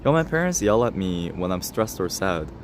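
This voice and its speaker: Young guy streaming, chatting w chat, lots of laughing, lowish voice, faint hum behind. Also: very animated, moderate pace. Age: 20s. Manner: laughs often, self-mocking, talks straight to chat. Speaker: livestreamer. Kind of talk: casual livestream chat reading comments.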